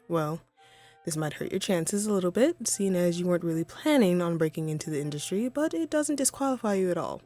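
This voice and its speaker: A woman's voice: on the low side, mellow, lightly acted. A woman voicing a haughty, wry female supervillain in a scripted audio roleplay, poised and theatrical but conversational. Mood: businesslike, curious.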